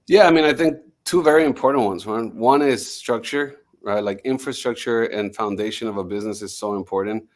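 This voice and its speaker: A man's voice: lowish voice, a little fast, few pauses. A man who grew up in Miami, a music executive speaking confidently as a podcast guest, often restarting his sentences. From Miami, United States. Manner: fluent, confident, with frequent restarts. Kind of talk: podcast interview.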